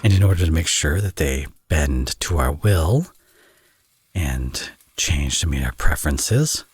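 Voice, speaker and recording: A man's voice: extremely low pitch, lively intonation, unhurried, breathy edge, heavily acted. A man playing a flirty, smug villain who dotes as a father, in a romantic ASMR audio roleplay with playful, conversational delivery. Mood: playfully sinister. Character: teasing, flirty male villain, now a doting father.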